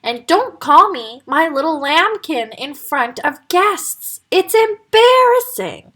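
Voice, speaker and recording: A woman voicing a big, bossy male wolf who booms and speaks firmly in a children's story. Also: sing-song, measured pace, fairly bright tone, exaggerated voice. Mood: blustering. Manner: booming and firm. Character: big bossy male wolf, blustering but good-hearted.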